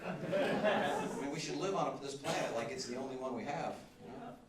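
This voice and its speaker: A man's voice: medium pitch, brisk. Southern man doing stand-up in a club. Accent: Southern American. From Southern United States. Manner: loose, conversational, chuckles through punchlines. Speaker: stand-up comedian. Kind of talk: stand-up comedy set. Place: comedy club stage. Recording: echoey club, far from mic, loud crowd. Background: loud audience laughter.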